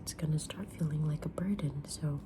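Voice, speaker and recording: A woman whispering softly in an ASMR roleplay as a kind, mysterious witch reading tarot, with a gentle, soothing delivery. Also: deep voice, noisy background. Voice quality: breathy unvoiced whisper. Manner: gentle, soothing, unhurried fortune-teller delivery. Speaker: ASMR creator playing a tarot reader.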